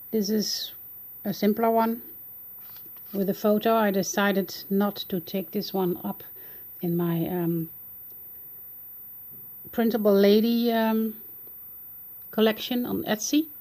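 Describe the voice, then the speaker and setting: A woman's voice: mid-range voice, a little mellow. A woman with a Dutch accent, a native Dutch speaker, casually narrating a flip-through of her art journal and searching for words as she goes.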